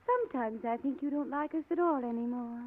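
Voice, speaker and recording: A woman's voice: sits high, a little fast, a little hiss or hum, natural voice. A woman acting in an old-time radio drama as a timid but needling young sister, childlike, meek and wheedling. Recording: tinny old radio recording.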